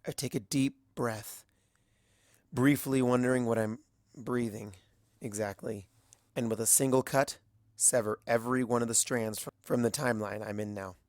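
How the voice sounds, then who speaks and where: A man narrating a fanfiction story in the first person, in a measured, storytelling way. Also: medium pitch, fairly even intonation, no room sound, clean studio sound. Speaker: audiobook reader.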